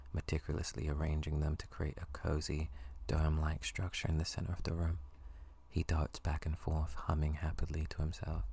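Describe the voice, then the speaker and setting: A man narrates an intimate second-person ASMR story from a script. His voice is extremely low, with a heavy creak running through it. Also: darkish tone.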